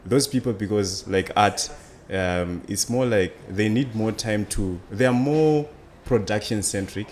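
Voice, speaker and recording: A man's voice: on the low side, unhurried, hardly any pauses, a little mellow, slight background noise. A man in his twenties with a Zimbabwean accent, telling his story conversationally as an interview guest. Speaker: interview guest, student entrepreneur.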